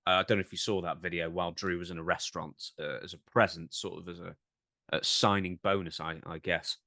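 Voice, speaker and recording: A man's voice: deep voice, flat delivery, fairly quick, bright, forceful tone, no room sound, clean studio sound. A man co-hosting a podcast, reading out news and discussing it in a casual, slightly hesitant, questioning way. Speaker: podcast co-host.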